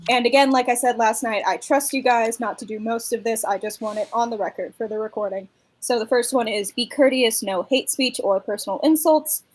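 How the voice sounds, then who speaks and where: Higher-pitched woman hosting casually. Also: brisk. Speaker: stream host and narrator of a comic dub. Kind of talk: casual group chat.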